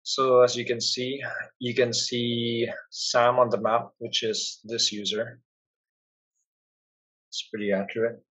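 A man giving a plain, instructional step-by-step narration as a software tutorial presenter. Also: mid-range voice, flat delivery, slow, pauses often, breathy edge, poor audio.

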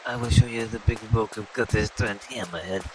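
A man's voice: on the low side, fairly quick, music in the background, lightly acted, low-quality recording. A man voicing a lewd, brazen woman adventurer in broken English, improvising over gameplay. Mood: seductive. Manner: breathy, leering, broken English.

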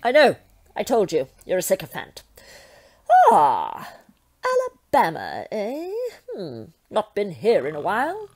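A woman's voice: medium pitch, huge pitch swings, measured pace, darkish tone, husky, exaggerated voice. A woman playing a vain, imperious lady devil with theatrical menace in an audio comedy drama. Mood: smug.

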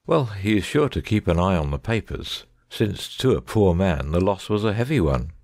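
A very low, gravelly gentleman detective, acted by a man in an audiobook drama. He is shrewd and commanding, with precise phrasing and a lively, swooping intonation. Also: moderate pace, no echo, clean studio sound, full character voice. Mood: brisk, assured.

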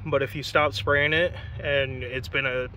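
Bright-voiced young man reviewing gear outdoors. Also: mid-range voice, a little slow, slight background noise, poor audio. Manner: casual, chatty, curses when excited. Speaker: car detailing vlogger. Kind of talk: casual product review. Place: outdoors in a driveway beside a car.

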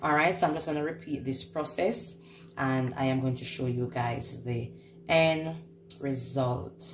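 A woman's voice: very deep voice, measured pace, echoey room. A woman hosting a casual home cooking demonstration, instructing and repeating phrases for emphasis. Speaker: home cook hosting a recipe video. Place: indoors in a home kitchen. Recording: clearly noisy home recording.

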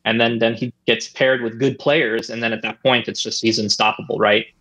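A man in his thirties, an interview guest, telling old stories in a relaxed, rambling way, on a boom mic at home. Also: normal speed, breathy edge, rough recording. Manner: relaxed, rambling with many "I mean" and "right?". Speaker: interview guest, former esports player. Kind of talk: casual storytelling. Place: home room, indoors. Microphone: studio mic on boom arm, headset.

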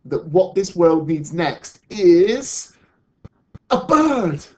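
Storyteller voicing a confident young girl, pitched higher. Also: big pitch swings, takes it slowly, darkish tone, dull audio. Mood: thoughtful invention.

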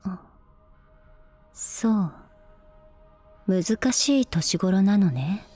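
An eerily calm, devoted senior schoolgirl in an ASMR drama. Her voice is mellow and soft, very close to the ear, and she speaks slowly with a cool deadpan. Faint music sits behind her. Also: mid-range voice, breathy edge, no room sound, full character voice. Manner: deadpan, soft-spoken, unhurried, occasionally whispery. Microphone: close binaural microphone.

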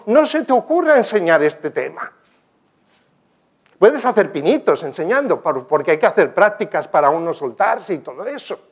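An older man giving a talk with slides in a conference room, telling stories earnestly and gesturing as he speaks. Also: sits high. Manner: earnest, storytelling, animated gestures, some restarts. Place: conference room with projector screen.

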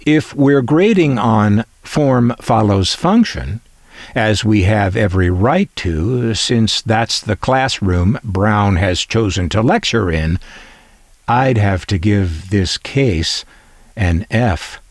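Low, wry American man, very expressive pitch. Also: takes it slowly, dry recording. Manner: wry, opinionated, dry humour. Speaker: collector presenting vintage transistor radios. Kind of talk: conversational video narration.

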